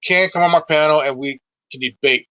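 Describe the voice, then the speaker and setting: A man's voice: fairly high pitch, a little fast, no echo, dull audio. A man in his forties in a casual political discussion, sweary and emphatic, often stumbling and repeating his words. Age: 40s.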